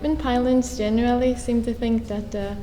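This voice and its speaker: An eighteen-year-old woman from Sweden, a native Swedish speaker, explaining her view in a measured way during a casual discussion. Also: fairly high pitch, steady tone, dark tone. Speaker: exchange student. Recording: echoey hall with faint noise.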